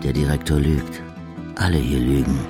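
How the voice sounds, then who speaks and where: An actor playing a scornful, imperious police chief inspector in a radio crime drama, probing pointedly and deliberately. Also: male voice, extremely low pitch, fairly even intonation, over loud music. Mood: contemptuous. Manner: deliberate, pointed interrogating delivery.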